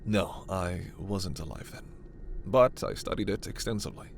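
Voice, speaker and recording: A man playing a gentle, earnest alien scientist in a sci-fi ASMR roleplay, speaking in a measured, hesitant, soft way. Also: deep voice, expressive, creaky, a little hiss or hum. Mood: earnest, wistful. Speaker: voice actor.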